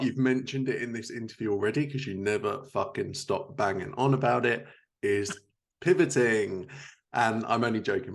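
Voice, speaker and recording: A man's voice: medium pitch, creaky. A man hosting a casual interview, informal and teasing, happy to swear while chatting with his guest. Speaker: podcast host interviewing a guest.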